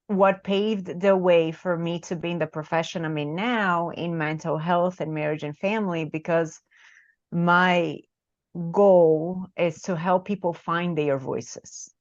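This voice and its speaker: A woman's voice: on the low side, fairly even intonation, slow pace, dry recording. A woman from Brazil with a Brazilian Portuguese accent, a therapist guest speaking reflectively and often adding "right?". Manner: reflective, tag questions like "right?". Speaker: marriage and family therapist, guest author. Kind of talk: casual interview talk. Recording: dull, dry lo-fi call audio.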